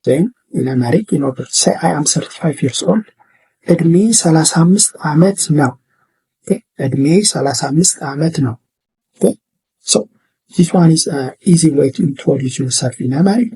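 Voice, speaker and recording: Husky man teaching a language lesson. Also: a little high, quick pace, mellow, rough recording. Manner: repetitive, checks in with "okay?" and "what?". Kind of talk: classroom-style language lesson.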